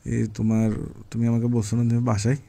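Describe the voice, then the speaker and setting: A man's voice: medium pitch, little pitch movement, fast talker, creaky, dark tone. A man making a prank phone call, nagging and teasing. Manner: nagging, teasing, repeats "huh?" to annoy. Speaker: radio prank caller.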